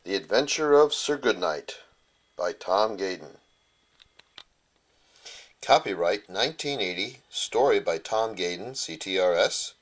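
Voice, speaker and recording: Low-voiced man reading a bedtime story. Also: lively intonation, a little slow, long pauses. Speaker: author reading his children's story. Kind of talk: rhyming bedtime storybook narration.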